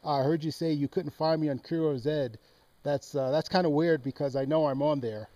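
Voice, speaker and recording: A man's voice: a little high, fairly even intonation, moderate pace, mellow, a little hiss or hum, rough recording. A man from Oregon chatting casually as an amateur radio operator. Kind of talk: casual ham radio chat. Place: outdoors on a mountain, speaking into a handheld radio.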